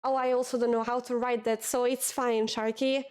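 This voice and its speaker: Chatty Italian woman streamer, slightly high. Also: level tone, moderate pace, a little mellow, clean tone. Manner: chatty, repeats words, reads chat aloud. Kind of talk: casual chat with a stream audience.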